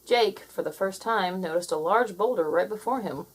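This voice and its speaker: A woman reading a children's story aloud as an expressive storyteller, in scripted narration. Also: medium pitch, moderate pace, breathy edge, rough recording.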